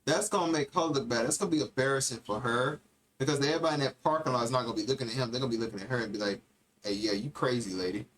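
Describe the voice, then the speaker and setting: Fast-talking man tossing in quick remarks. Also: mid-range voice, fairly bright tone, a little hiss or hum, low-quality recording. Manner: quick interjections. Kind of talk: casual chat.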